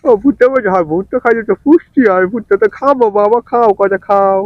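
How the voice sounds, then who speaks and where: A man acts out an old farmer from the south, panicky and easily enraged, in a comic dub. The voice is high and races along, tripping over repeated words. It sounds close and dry, like a prank call. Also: fast talker, no room sound, lo-fi sound, lightly acted. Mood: friendly, curious. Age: older. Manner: spluttering, repeats words frantically. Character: excitable old village farmer, panicky and furious.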